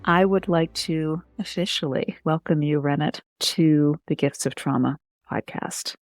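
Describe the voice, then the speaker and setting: A woman hosting a podcast, giving a gentle, formal welcome and inviting her guest to introduce herself. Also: low voice, unhurried, faint music behind. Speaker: podcast host. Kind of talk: podcast introduction and interview questions.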